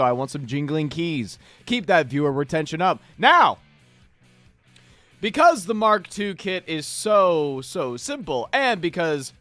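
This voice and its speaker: A man with a slightly hoarse voice, hosting a casual livestream where he paints miniatures and chats playfully with viewers. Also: a little high, a bit bright, dry recording. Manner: playful, chatty, addresses chat directly. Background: faint background music.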